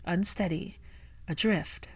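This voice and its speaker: A woman's voice: on the low side, takes it slowly, vocal fry, darkish tone, breathy edge. A woman reading scripted fiction aloud as an audiobook narrator.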